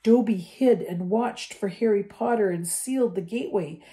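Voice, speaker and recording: A woman's voice: moderate pace, dark tone, lightly acted. A woman voicing a small, anxious male house elf who pleads and often drops to a whisper. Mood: pleading. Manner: squeaky, pleading, sometimes whispering.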